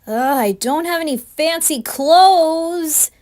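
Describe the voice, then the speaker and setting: A woman voicing a distraught teenage boy in a horror story, loud and whiny. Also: very high-pitched, takes it slowly, pitched above the performer's own voice. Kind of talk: amateur creepypasta dramatized reading.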